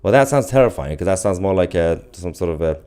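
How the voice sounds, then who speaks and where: A man's voice: deep voice, animated, fast, mellow, smooth. A man hosting a live stream in an informal, off-the-cuff way, reading out viewer comments and giving casual commentary.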